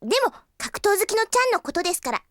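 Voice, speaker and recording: An actress playing a naive, polite and excitable young girl in an audio drama, with an earnest girlish manner. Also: extremely high pitch, fast talker, full character voice. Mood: earnest, concerned. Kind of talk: Japanese audio drama acting.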